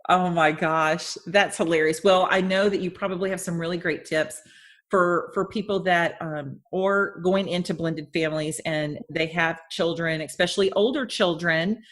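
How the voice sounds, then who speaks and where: A woman's voice: lowish voice, fairly even intonation, moderate pace, a bit bright. A woman in her fifties hosting a podcast interview, chatting in a rambling, friendly way with frequent restarts and easy laughter. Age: 50s. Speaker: podcast host. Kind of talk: casual interview chat.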